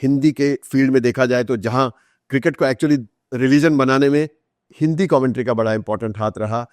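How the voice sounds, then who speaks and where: Podcast guest: Indian man, medium pitch, anecdotal and explanatory, code-mixing English, recorded dry. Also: fairly quick, a little mellow, no room sound. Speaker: interview guest, author. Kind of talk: conversational storytelling in a podcast interview.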